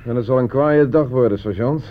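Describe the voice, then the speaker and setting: An actor in a radio play playing a dutiful army telephone operator, clipped, deliberate and matter-of-fact. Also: male voice, medium pitch, natural voice. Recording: thin, narrow-band old radio recording.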